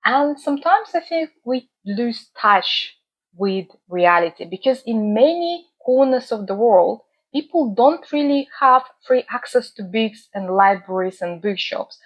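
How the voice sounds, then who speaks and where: A woman's voice: on the high side, lively intonation, dull audio. A woman, speaking earnestly and thoughtfully to her book channel's viewers. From Russia. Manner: earnest and measured, with frequent "uh" pauses. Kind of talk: reflective talk to camera. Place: home in the UK.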